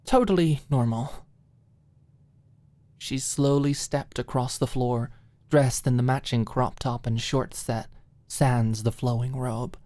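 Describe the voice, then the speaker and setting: A woman's voice: very deep voice, slow, dark tone, no echo. A woman reading scripted fiction expressively as an audiobook narrator in an ASMR style.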